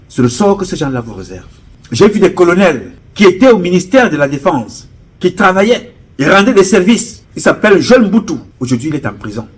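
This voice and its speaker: A middle-aged man with a Cameroonian French accent delivers a fervent political speech. His husky voice builds in repeated phrases, pressing hard on key words. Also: a little high. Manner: emphatic, rising to urgent appeals; repetitive oratorical cadence. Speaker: political commentator. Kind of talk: impassioned political address.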